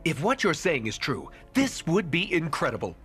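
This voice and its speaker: A man voicing an assured, calculating villain who can feign friendliness, in an animated video game. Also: a little high, normal speed, soft background music. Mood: friendly, eager. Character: smooth, confident male villain feigning friendliness.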